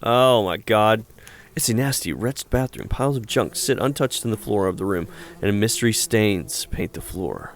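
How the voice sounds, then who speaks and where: A man's voice: lowish voice, normal speed. A man hosting a gaming video, casually chatting and reading story narration aloud. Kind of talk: casual let's-play with read-aloud narration.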